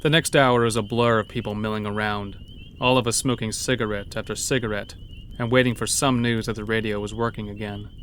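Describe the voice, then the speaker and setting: A man's voice: lowish voice, steady tone, fairly quick, fairly bright tone, a little hiss or hum. A man narrating a scripted first-person horror story in a dramatic storytelling manner. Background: background music.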